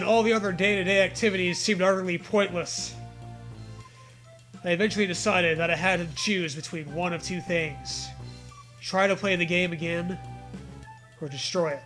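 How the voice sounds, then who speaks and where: A man's voice: sits high, fairly bright tone. A man reading a story aloud in a comedic way, with mocking, profane casual riffing.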